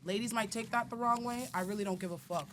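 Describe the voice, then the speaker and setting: A woman's voice: medium pitch, fast, fairly bright tone, a little hiss or hum. A woman, a guest in a casual podcast chat, blunt and outspoken. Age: 30s.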